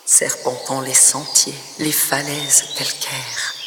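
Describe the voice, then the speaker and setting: A woman poet reciting her own poem expressively, in measured phrases with breathy, hushed endings. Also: extremely low pitch, level tone, noisy background. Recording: long reverb, noticeable background ambience.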